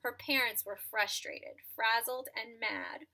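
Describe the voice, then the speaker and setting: A woman's voice: a little high, takes it slowly, gravelly, pushed and bright, poor audio. A woman reading a picture book aloud to young children as a storyteller, narrating expressively and dramatically with small asides to the listeners.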